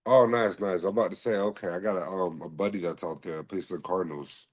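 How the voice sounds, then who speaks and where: Deep-voiced, polite man from Massachusetts. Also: fairly even intonation. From Massachusetts, USA. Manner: polite, often says "yes sir", halting with fillers. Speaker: podcast guest, slap fighter and bodyguard. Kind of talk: casual interview answers.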